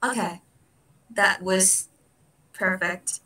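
A woman in her twenties from Thailand, Thai native, giving short, soft replies on a video call. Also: mid-range voice, drawn-out words, very noisy. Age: 20s. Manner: soft, brief replies, giggly. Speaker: stranger on video chat. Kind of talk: casual chat. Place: room at home, indoors. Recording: echoey room, far from mic.

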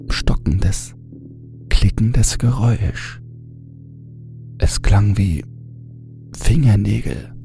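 A man narrating a horror audiobook in a hushed, often whispering manner, with music underneath. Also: lowish voice, vocal fry.

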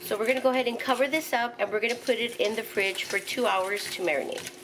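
A woman's voice: fairly high pitch, quick pace, fairly bright tone. Middle-aged woman, friendly home-cook host explaining a recipe step by step, kitchen recording with light noise. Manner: instructional, walks through each step with fillers. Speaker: home cooking channel host. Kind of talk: casual step-by-step cooking narration.

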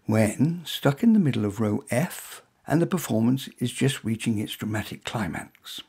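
Husky, lively English male storyteller. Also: medium pitch, normal speed. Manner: wry, droll, conversational asides. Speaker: author reading own short story. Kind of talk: first-person humorous story narration.

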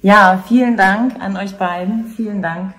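A woman's voice: medium pitch, fairly even intonation, unhurried, a bit bright. A woman interviewing guests informally on location, in conversational speech. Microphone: handheld interview microphone.

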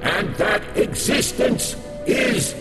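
An actor playing a cold, grandiose alien hive leader in a sci-fi audio drama, proclaiming in a commanding, declamatory way. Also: male voice, sits high, huge pitch swings, slow, creaky, exaggerated voice. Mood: disdainful, preaching. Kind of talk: sci-fi radio drama acting. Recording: echoey hall reverb over music.

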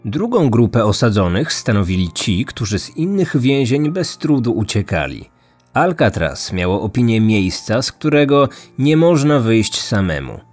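A man's voice: mid-range voice, sing-song, moderate pace, darkish tone. A man in his thirties reading scripted true-crime narration as a podcast host. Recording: music under the voice. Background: background music.

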